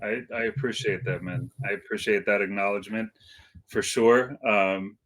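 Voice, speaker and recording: A man's voice: fairly low pitch, fairly even intonation, normal speed, fairly bright tone, low-quality recording. A middle-aged man from Iceland, a guest DJ in a casual interview, talking in a laid-back, modest way with many fillers and restarts.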